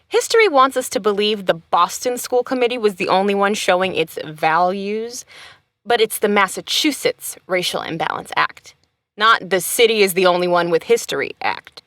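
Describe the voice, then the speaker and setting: A woman's voice: mid-range voice, expressive, normal speed, fairly bright tone, no echo, natural voice. A woman acting as an informed commentator in an audio play, explanatory and dry in manner. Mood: pointed.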